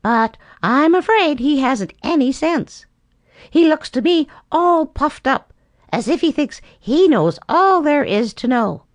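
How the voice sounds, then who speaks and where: A woman reading a storybook and voicing a bossy male blue jay in a shrill, scolding tone. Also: lively intonation, slow pace, no room sound. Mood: scornful. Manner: shrill, scolding, high-pitched.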